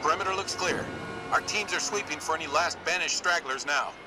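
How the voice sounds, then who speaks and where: A man's voice: a little high, fairly quick, very noisy, lightly acted. An actor playing an upbeat male fleet officer in a sci-fi war game, businesslike and approving. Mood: pleased. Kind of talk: video game military acting.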